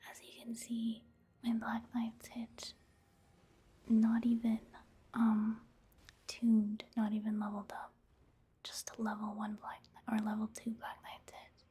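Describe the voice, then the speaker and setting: A woman giving soft-spoken, whispered ASMR commentary over video game play, in a gentle, casual and unhurried manner. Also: on the high side, monotone, breathy edge.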